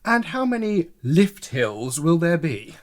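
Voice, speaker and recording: A man's voice: on the high side, sing-song, takes it slowly. An actor playing a polite, sceptical male news presenter, questioning formally and incredulously in a mock broadcast interview. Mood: politely formal.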